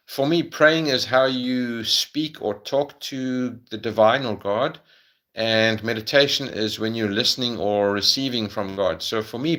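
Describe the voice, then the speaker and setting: A man's voice: mid-range voice, bright, forceful tone. A middle-aged man with a South African accent, answering viewers' questions on a casual livestream, hesitant, with many ums and restarts.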